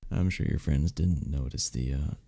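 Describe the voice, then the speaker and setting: Man playing a flirty, low, gravelly charmer. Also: moderate pace, mellow, heavily acted. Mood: amused, teasing. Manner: teasing, reassuring, chuckles between phrases. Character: flirty, friendly male cuddle club member showing a newcomer around. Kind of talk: ASMR romance roleplay, one-sided conversation.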